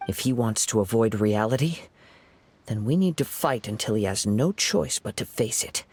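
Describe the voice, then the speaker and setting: An actor voicing a calm young wandering samurai in a game cutscene, speaking in a measured, earnest tone. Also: male voice, mid-range voice, breathy edge.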